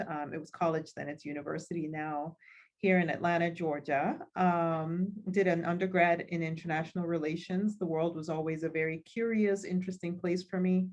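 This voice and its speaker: A woman in her forties from Cameroon, a nonprofit leader talking thoughtfully as a guest over a video call, with frequent ums. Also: fairly low pitch. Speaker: guest, nonprofit school CEO. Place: home office with chalkboard behind. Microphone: video call laptop mic.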